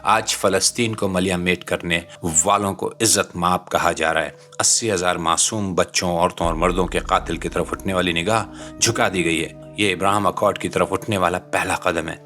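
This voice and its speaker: A Pakistani man with a very low, penetrating voice reads scripted political commentary over soft background music. His delivery is indignant and dramatic, built on formal, rhetorical phrasing in a Pakistani accent. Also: fairly even intonation, normal speed. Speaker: commentator, channel presenter.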